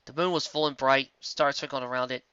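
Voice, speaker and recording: A man reading a story aloud with expressive storytelling. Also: mid-range voice, fairly quick, clean tone, dry recording, highs cut off. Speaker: reader. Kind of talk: audiobook narration of fan fiction.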